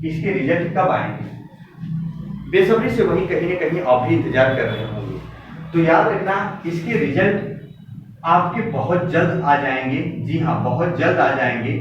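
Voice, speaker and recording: A man speaking as a coaching teacher, giving students an exam update in an emphatic, exhorting way with repeated phrases. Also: on the high side. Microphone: distant microphone. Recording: very echoey room, distant muffled mic, faint noise.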